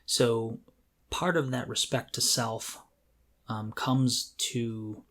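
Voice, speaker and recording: A man's voice: medium pitch, fairly even intonation, slow pace, a bit bright. A man co-hosting a podcast in casual chat, speaking candidly with a jokey, self-deprecating manner. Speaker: podcast co-host.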